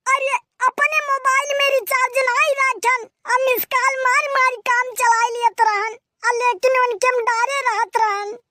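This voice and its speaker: A little cartoon village boy with a piercing, bright voice and a rustic eastern Uttar Pradesh accent. He is cheeky and aggrieved, grumbling in exclamations at an unhurried pace, recorded dry and close. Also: very high voice, level tone, no room sound, exaggerated voice. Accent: eastern Uttar Pradesh (Awadhi). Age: child character. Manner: complaining, colloquial, exclamatory. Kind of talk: rustic comedy cartoon dialogue.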